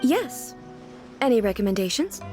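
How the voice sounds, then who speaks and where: Regal game ruler deity: formal, inquisitive, earnest, high lilting voice with music behind. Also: female voice, big pitch swings, normal speed. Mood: composed, friendly. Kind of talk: video game voice acting. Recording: background music under the voice.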